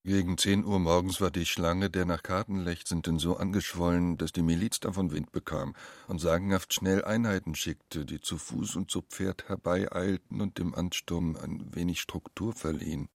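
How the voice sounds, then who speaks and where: A man narrating a literary radio drama as a wry, measured storyteller. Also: deep voice, steady tone, dry recording, studio quality.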